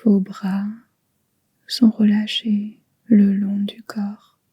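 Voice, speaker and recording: A woman guiding a yoga nidra relaxation in a soft, breathy near-whisper, gentle and soothing, with long unhurried pauses. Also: medium pitch, completely flat delivery, dry recording, lo-fi sound. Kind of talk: guided relaxation meditation.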